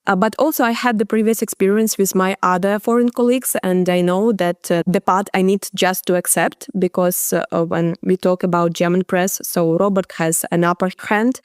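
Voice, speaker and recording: A woman's voice: on the low side, fairly even intonation, moderate pace, hardly any pauses, smooth, dry recording, clean studio sound. Ukrainian woman speaking hesitant English. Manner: hesitant, many fillers, non-native phrasing. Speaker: journalist guest. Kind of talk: interview answers.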